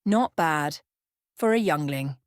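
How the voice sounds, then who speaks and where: A woman's voice: low-pitched, lively intonation, slow pace, no room sound. Cocky young male vampire for a storybook, played by a woman: sneering, taunting, dry close sound. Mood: smug. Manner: smirking, taunting.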